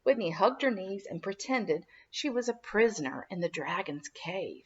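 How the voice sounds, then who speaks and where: A woman reads a storybook aloud for young children in a mid-pitched voice. Her narration is animated and dramatic, with lots of melodic movement. The sound is a little dull and lo-fi. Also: normal speed, slightly rough. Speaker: children's minister reading a storybook.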